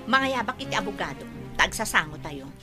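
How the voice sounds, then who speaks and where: An actress with an Ilocano accent playing a greedy, domineering, scheming aunt in a radio drama, with a pushy way of talking. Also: female voice, mid-range voice, sing-song, a little fast, loud music behind the voice, natural voice.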